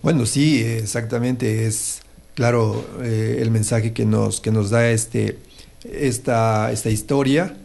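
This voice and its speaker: Gravelly, hesitant radio host. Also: male voice, medium pitch, fairly even intonation, takes it slowly, darkish tone. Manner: hesitant, many fillers and restarts. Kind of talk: casual on-air talk with a moral story.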